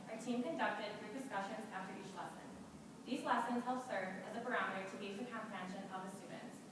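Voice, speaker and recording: Brisk young woman in an echoey hall. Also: mid-range voice. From Buffalo, New York. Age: young adult. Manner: brisk, recited delivery. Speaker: student presenter. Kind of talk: rehearsed competition presentation. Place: large auditorium. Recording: echoey hall, distant mic, noisy.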